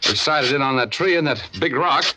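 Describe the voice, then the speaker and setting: An actor playing a wisecracking, cynical private detective with an American accent, in slangy, wry 1940s radio noir style. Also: male voice, mid-range voice, lively intonation, brisk, heavy background noise, lightly acted. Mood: impatient, excited. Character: wisecracking hardboiled male private detective, smooth-talking and cynical. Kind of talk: 1940s radio noir acting with first-person narration. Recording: dull old radio recording with music.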